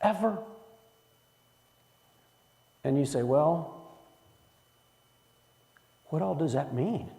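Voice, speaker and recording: A man's voice: a little high, lively intonation, extremely slow, vocal fry, pauses often, warm tone, big-room sound. A man leading a church Bible study in a folksy way, asking the room questions as he teaches. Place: church hall.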